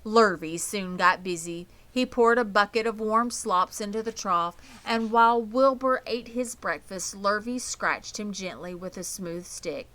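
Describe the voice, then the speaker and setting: Bright-voiced woman reading a children's story. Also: mid-range voice, unhurried, hardly any pauses. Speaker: audiobook reader. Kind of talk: scripted children's story narration.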